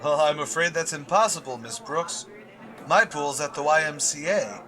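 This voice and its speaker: A man's voice: a little high, normal speed, pushed and bright. A man playing a shy, oblivious biology teacher in an old-time radio comedy, speaking in a mild, hesitant, earnest way. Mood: puzzled, earnest.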